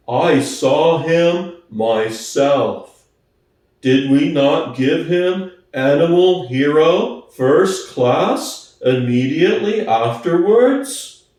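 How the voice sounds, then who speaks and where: Man doing a slow, earnest old-style storybook workhorse. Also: fairly high pitch, darkish tone, voice pushed down. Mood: puzzled, stubborn. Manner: slow, laboured, stolid delivery. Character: slow-witted, earnest, loyal big male workhorse. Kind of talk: dramatic audiobook reading. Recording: echoey room, distant from mic.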